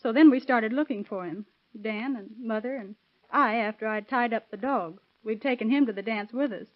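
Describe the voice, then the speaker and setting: An actress playing a dutiful young daughter, earnest and controlled, in a 1930s radio drama. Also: female voice, fairly high pitch, moderate pace, lightly acted. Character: composed young daughter of a murdered man, dutiful. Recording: dull vintage radio recording.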